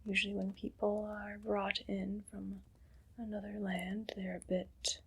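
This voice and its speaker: A woman playing a haughty, condescending evil queen in an ASMR roleplay, speaking in a breathy, deliberate whisper. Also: medium pitch, flat delivery, fairly bright tone, heavily acted. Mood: cool, suspicious. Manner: slow, deliberate, imperious.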